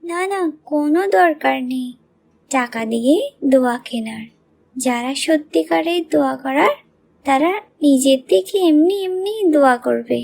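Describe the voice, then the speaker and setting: A woman's voice: sits high, normal speed, gravelly, a little mellow. A woman voicing a loving but possessive, tight-fisted songbird wife and mother, with emotional, insistent delivery in a sentimental cartoon. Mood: insistent, scolding. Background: music and birdsong.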